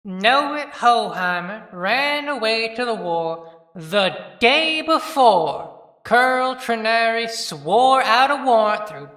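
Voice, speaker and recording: A man's voice: extremely high pitch, sharp tone, distant mic, exaggerated voice. A man voicing a brazen, unfaithful small-town young woman in a knowing audio-play monologue. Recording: long cavernous reverb.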